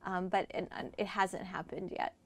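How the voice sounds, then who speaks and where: A middle-aged woman who grew up in rural Iowa speaks as an interview guest. She explains things in a conversational way, at a medium pitch. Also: level tone, normal speed. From born in Afghanistan, grew up in rural Iowa. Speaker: interview guest, doctor and nonprofit member.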